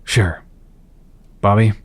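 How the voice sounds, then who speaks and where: An actor playing a tense, worried young team leader in an audio drama. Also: male voice, extremely slow. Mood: firm.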